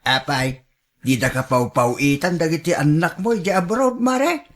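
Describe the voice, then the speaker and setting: A man's voice: fairly high pitch, measured pace, close to an everyday voice. A man acting in a radio drama as a wary middle-aged neighbor who lends money, questioning and matter-of-fact, with a Filipino Ilocano accent. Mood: wary, practical.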